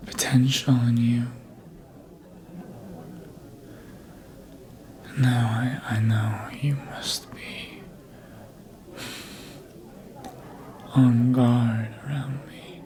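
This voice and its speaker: A performer voicing a sly, seductive, manipulative vampire in a breathy ASMR whisper, coaxing slowly and deliberately as if hypnotizing. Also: mid-range voice, darkish tone. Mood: soothing, sly.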